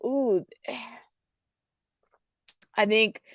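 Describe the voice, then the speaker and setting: A woman hosting a casual live news commentary, chatting to viewers in an informal, halting way with emphatic repetition. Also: on the high side, dry recording.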